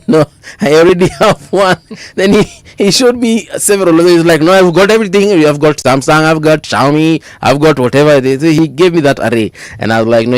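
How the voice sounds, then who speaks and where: Male Kenyan radio co-host: animated storyteller, dry close studio sound. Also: fairly high pitch, dry recording. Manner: animated storytelling, mixes Swahili into English, laughs often. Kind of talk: casual on-air banter. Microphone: studio microphone.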